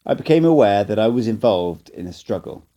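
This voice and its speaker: A man reading an audiobook in first-person narration, calm and measured. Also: lowish voice. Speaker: amateur audiobook reader.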